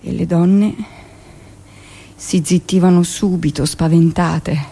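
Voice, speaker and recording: An actress playing a hardened midwife, recounting hospital memories matter-of-factly in a theatrical radio monologue. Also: female voice, fairly low pitch, fairly even intonation, slow pace, gravelly, warm tone, slightly rough, exaggerated voice. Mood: matter-of-fact, grim.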